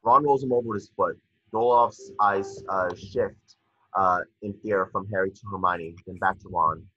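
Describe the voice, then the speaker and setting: A man's voice: deep voice, steady tone, normal speed, bright, forceful tone. A young man narrating scripted stage directions from a screenplay over a video call. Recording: dull, muffled video call audio.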